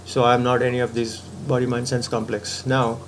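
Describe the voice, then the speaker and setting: A man's voice: mid-range voice, monotone, a little fast, a little hiss or hum. A man giving a patient philosophical talk, reasoning step by step and often checking in with the listener. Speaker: spiritual teacher.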